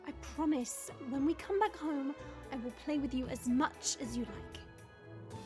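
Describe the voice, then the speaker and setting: A kindly young princess of dragons, acted by a woman, composed and patient in how she speaks. Her voice is high, and music plays clearly behind her in this audio roleplay. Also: measured pace, a little mellow. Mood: gentle, coaxing. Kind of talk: audio roleplay drama acting.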